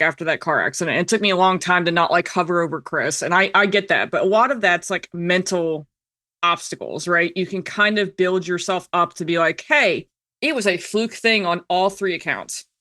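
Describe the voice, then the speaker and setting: A woman's voice: fairly low pitch, normal speed, bright, forceful tone. An American woman in her thirties telling personal stories casually as a podcast guest, candid and emphatic, often acting out quotes. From United States. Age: 30s. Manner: candid, chatty, emphatic, self-quoting. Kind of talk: casual personal storytelling in conversation.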